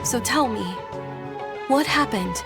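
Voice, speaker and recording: An actress playing a gentle, perceptive young noblewoman in a video game, speaking politely, with composure and care over her words. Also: a little high, expressive, slow pace, over loud music. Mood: concerned.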